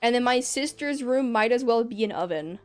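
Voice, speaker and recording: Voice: mid-range voice, expressive, moderate pace, faint music behind. A young adult streamer chatting casually over gameplay in their bedroom, rambling and laughing often. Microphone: desk microphone.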